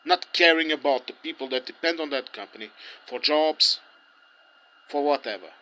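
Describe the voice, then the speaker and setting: A man's voice: fairly high pitch, moderate pace, pushed and bright. A man whose first languages are Portuguese and German, speaking English in a heated, ranting way with many restarts. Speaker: interviewee. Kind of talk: impassioned street opinion.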